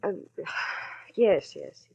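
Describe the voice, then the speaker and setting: A woman's voice: very slow, mellow, muffled recording. An anxious young woman. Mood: worried. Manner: worried, pleading. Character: anxious young woman torn over her lover. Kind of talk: 1940s radio acting.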